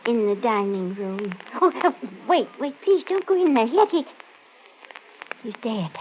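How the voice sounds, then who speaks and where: An actress in an old-time radio mystery playing a nervous, insistent, ominous woman with a halting, pleading, melodramatic delivery. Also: on the high side, very animated. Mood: uneasy explaining. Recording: muffled, hissy old radio recording.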